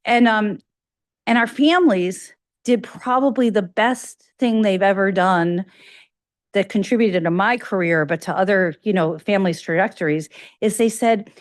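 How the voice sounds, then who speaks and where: A woman with a faint Chicago accent lectures to colleagues in a loose, conversational way, stopping to restart phrases and dropping in fillers. Her voice sits at a middle pitch, and the sound is dry and close. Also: normal speed, no echo. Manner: conversational, self-correcting, with frequent fillers. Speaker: university researcher giving a grand rounds lecture. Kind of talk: academic lecture.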